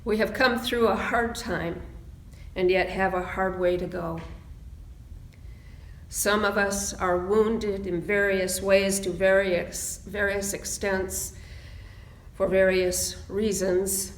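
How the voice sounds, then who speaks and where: Slow-speaking woman minister in an echoey room. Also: mid-range voice, fairly even intonation. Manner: solemn, measured, with deliberate phrasing. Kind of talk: slow, reflective spoken prayer and meditation. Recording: roomy, echoing sound.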